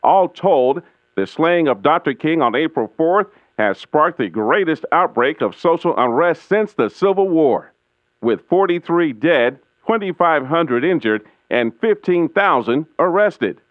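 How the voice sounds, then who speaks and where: A formal 1960s radio news announcer delivers a bulletin in measured phrases. His voice sits a little high for a man, with a dry, lo-fi sound. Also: expressive, no echo. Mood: grave, formal.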